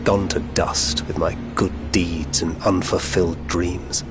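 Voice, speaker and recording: A man's voice: low-pitched, little pitch movement, slow, over music. A man playing a weathered, resolute hero in a dramatic video game, speaking somberly of life. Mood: somber resolve.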